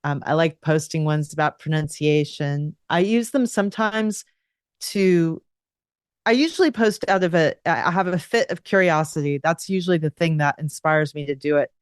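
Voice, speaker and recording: Smooth, low-voiced woman guest. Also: normal speed, no echo, clean studio sound. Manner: chatty, with restarts and "um"s. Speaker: guest grammar advisor. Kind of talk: casual live podcast chat.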